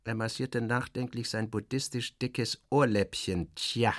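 Animated man narrating literary satire. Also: on the low side, moderate pace, no echo, clean studio sound. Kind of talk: scripted first-person literary narration.

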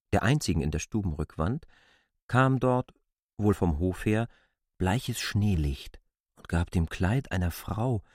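A man's voice: fairly low pitch, a little mellow, husky, dry recording, studio quality. A man narrating a literary classic as a German radio drama.

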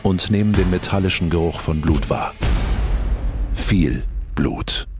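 Deep-voiced man narrating dramatic true crime. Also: a little slow, music in the background. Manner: suspenseful, acts out short quoted calls. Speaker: story narrator.

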